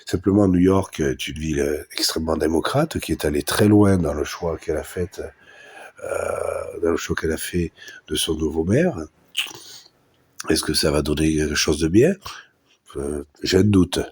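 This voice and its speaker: Very deep husky man with a cold. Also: normal speed, a little mellow. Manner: hesitant, full of "euh" and restarts, blunt and crude. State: has a head cold. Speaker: podcast host. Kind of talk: unscripted opinion monologue. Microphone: live podcast mic.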